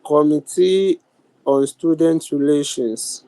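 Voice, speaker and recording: Ghanaian man, slightly high mellow voice, deliberate formal chair of a virtual sitting, dry sound. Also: fairly even intonation, no echo, rough recording. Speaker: speaker of a youth parliament, chairing a sitting. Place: virtual meeting over video call. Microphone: video call.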